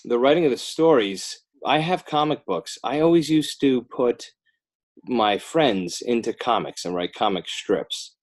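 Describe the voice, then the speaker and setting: A man's voice: medium pitch, animated, a little slow. A middle-aged American man chatting casually as an interview guest, with a rambling, self-deprecating way of talking. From northern New Jersey, United States. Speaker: podcast guest, children's book author and illustrator.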